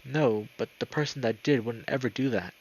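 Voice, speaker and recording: A man's voice: mid-range voice, moderate pace, husky, rough recording. A man voicing an anxious young male protagonist in a visual novel, urgent and defensive. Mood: anxious, protesting.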